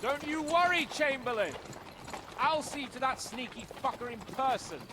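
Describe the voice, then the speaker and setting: An actor playing a rough, indignant medieval man-at-arms in a game cutscene, agitated and crude. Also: very high-pitched, lively intonation, moderate pace. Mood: indignant, vengeful. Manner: rough, foul-mouthed, agitated. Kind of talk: video game cutscene acting. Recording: clear outdoor horse-riding noise. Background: horses, hoofbeats, ambience.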